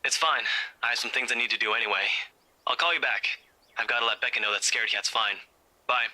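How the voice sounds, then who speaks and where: A man acting a composed, guarded young man in a visual novel, with a husky voice and a controlled, dry delivery. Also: medium pitch, a little fast, sharp tone, low-quality recording. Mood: dry, brisk.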